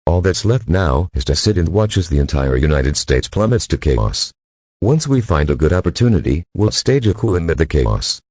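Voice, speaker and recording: A man playing a scheming, grandiose gang boss and escaped convict in an amateur audio drama, with a gravelly, commanding voice. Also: deep voice, sing-song, normal speed, a little mellow, no room sound, exaggerated voice. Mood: gloating.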